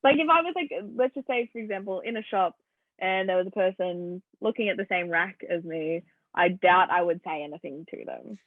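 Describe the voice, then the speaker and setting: A young woman co-hosting a podcast, chatting casually with quick, giggly reactions. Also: fairly low pitch, no echo. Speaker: podcast co-host. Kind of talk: casual chat. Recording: thin phone-like sound, dry.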